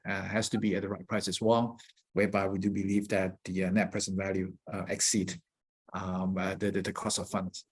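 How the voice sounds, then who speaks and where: A man speaking as a company executive, giving measured, explanatory answers on an earnings call. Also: lowish voice, husky.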